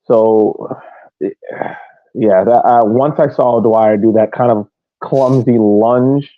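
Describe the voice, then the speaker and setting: A man's voice: medium pitch, takes it slowly, dry recording, narrow, tinny audio. A man on a podcast panel giving a casual breakdown of a soccer match, over a thin connection.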